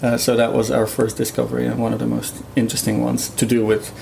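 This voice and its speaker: A Dutch-native man explains his research in a relaxed interview. His voice is gravelly and mellow, and he thinks aloud with frequent fillers and restarts. A faint hiss sits behind him. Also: medium pitch, fast, rough recording. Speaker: guest researcher. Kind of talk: conversational explanation.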